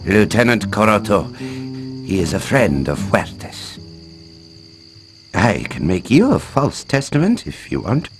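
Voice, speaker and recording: An actor playing a mild, learned professor who explains ancient lore with hesitant little chuckles in a game cutscene. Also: male voice, lowish voice, big pitch swings, slow, pauses often, over music. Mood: obliging, uneasy. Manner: expository storytelling with hesitant chuckles. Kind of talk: scripted video game cutscene acting.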